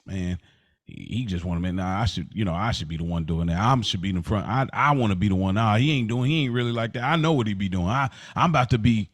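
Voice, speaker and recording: Dude w a lowish voice just chatting on a podcast, stop-start, says things twice, dry sound. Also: male voice, no echo. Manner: halting, repeats himself. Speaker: podcast speaker. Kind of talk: casual chat.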